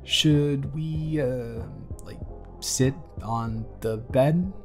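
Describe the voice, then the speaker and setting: A man's voice: mid-range voice, extremely slow, soft background music. A young man acting a wry, awkward but kind college student in an intimate, conversational roleplay audio, with a dry, teasing and hesitant delivery. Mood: awkward, considerate. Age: young adult.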